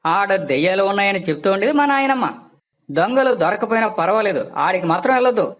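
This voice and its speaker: A man's voice: sits high, lively intonation, fast talker, fairly bright tone. A man voicing a plaintive, wary, superstitious villager in a moral story. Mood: fearful.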